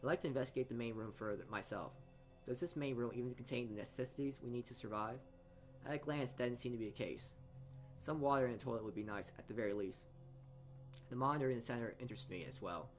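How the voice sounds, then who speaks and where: A man's voice: mid-range voice, fast talker. A man hosting a gaming video, reading a visual novel aloud with casual asides and often stumbling over or repeating words. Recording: music behind the voice. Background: game background music.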